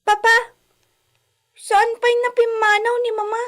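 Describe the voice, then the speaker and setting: Woman playing a very high-voiced, plaintive little girl. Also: level tone, measured pace, fairly bright tone. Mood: pleading. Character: small plaintive girl, daughter pining for Mama. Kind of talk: radio drama acting.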